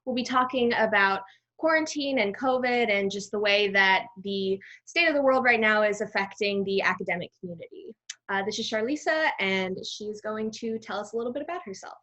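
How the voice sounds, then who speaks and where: A woman's voice: mid-range voice, brisk, fairly bright tone. A young adult woman hosting a casual interview over a video call, asking questions as a student interviewer.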